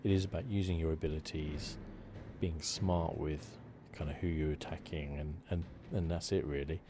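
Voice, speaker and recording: Very deep-voiced man, hushed game commentary. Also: steady tone, normal speed. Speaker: gaming video host. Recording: game sounds noisy behind voice. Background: video game audio.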